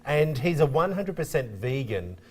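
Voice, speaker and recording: A man's voice: medium pitch, very animated, moderate pace, darkish tone, husky. A man hosting a morning TV show, chatting casually and teasing himself with easy laughs. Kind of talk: casual studio chat.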